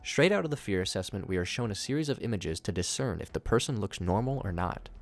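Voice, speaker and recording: Male narrator, lower register, scripted review commentary, light music bed underneath. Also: expressive, fast. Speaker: YouTube narrator reviewing horror series.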